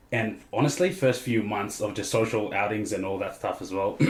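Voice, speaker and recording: Low-voiced man with an Australian accent. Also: roomy sound, a little hiss or hum, rough recording. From Papua New Guinea. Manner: chatty storyteller, frequent ums and restarts. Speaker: podcast guest. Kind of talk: casual interview chat.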